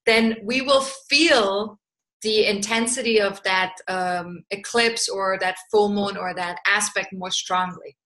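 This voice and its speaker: Bright-voiced woman teaching a webinar. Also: mid-range voice, steady tone, slow pace. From Austria. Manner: chatty, asks "right?" often, reads out attendees' answers. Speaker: astrologer and coach.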